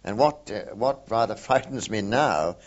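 Deep-voiced older man recalling wartime. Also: animated. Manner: hesitant, many ums and uhs, measured. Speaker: war veteran interviewee. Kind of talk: oral history recollection. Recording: dull lo-fi recording.

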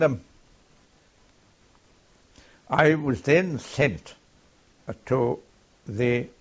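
An older man with a gravelly, husky voice, recalling his wartime service haltingly, with many pauses and fillers. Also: medium pitch. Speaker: war veteran recounting service. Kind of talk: oral history recollection. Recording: dull lo-fi archive tape.

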